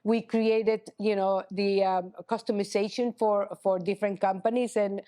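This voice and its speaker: A middle-aged woman, a chocolatier guest speaking in a casual interview, halting with many restarts and "you know". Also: medium pitch, steady tone, mellow. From Honduras. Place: chocolate shop cafe.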